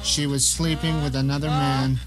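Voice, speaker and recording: A man's voice: fairly high pitch, flat delivery, normal speed, over music, voice pushed down, close to an everyday voice. A man playing a bitter, paranoid blind novelist who narrates his nightmare in a wry, grumbling, theatrical way for an audio drama. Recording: noticeable rain and ambient noise behind.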